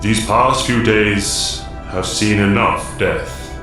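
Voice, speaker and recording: A man playing a solemn, wise mentor who commands young heroes in a superhero audio drama, speaking formally and gravely. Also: fairly low pitch, fairly even intonation, slow pace. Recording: very echoey voice over clear music.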